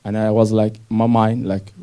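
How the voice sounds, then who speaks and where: A young man from Somalia telling his personal story to an audience in simple, halting English with restarts. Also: on the low side, little pitch movement, warm tone, clean tone. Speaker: speaker sharing his refugee story. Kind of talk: personal story talk on stage.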